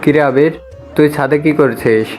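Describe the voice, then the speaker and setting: A man's voice: fairly high pitch, fairly even intonation, normal speed, darkish tone, faint music behind, natural voice. Man acting a scolding middle-aged father. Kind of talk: audio love story acting.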